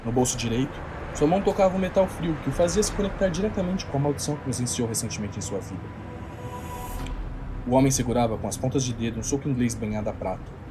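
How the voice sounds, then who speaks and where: A man's voice: medium pitch, animated, quick pace, background noise. A man with a Brazilian accent reading scripted literary narration for an audio drama. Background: music.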